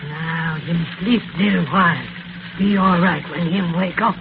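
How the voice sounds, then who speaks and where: An actor in an old radio drama playing a friendly woodland healer who speaks broken pidgin English in short phrases. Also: male voice, high-pitched, fairly even intonation, a little slow. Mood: caring. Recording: tinny, noisy old radio recording.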